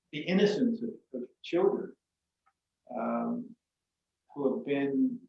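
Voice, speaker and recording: A man, a photographer guest, speaking reflectively and haltingly, with many pauses. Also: medium pitch, gravelly. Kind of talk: casual interview talk. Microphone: video call. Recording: echoey, distant, muffled video call audio.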